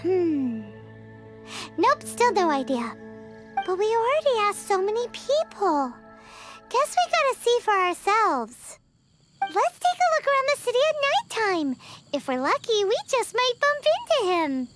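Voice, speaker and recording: A woman voicing a small, cheeky, childlike fairy companion in a video game, squeaky and theatrically exclamatory. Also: sing-song, measured pace, exaggerated voice. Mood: curious. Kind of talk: video game character acting. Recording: music behind the voice.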